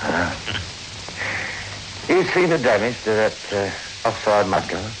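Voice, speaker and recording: A streetwise male getaway driver talks in a lowish voice, casual but guarded and tough. It is 1960s radio suspense acting, buried in loud noise on an old recording. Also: very animated, slow. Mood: wary. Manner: casual, wary, tough talk.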